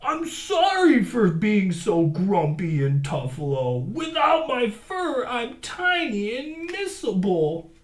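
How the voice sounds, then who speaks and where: A woman voicing a grumpy, huffy male buffalo in a read-aloud storybook, shouting and blustering. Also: mid-range voice, sing-song, slow pace, few pauses, voice pushed up. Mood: tearful. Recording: echoey room.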